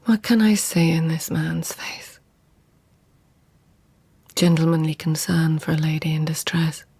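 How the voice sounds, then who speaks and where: An actress playing a devout, passionate lady-in-waiting at an imperial court in a radio drama, speaking in an intimate, hushed way that often slips into whispered inner narration. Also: low-pitched, measured pace, vocal fry, husky, exaggerated voice. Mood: watchful, musing. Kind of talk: literary radio drama acting.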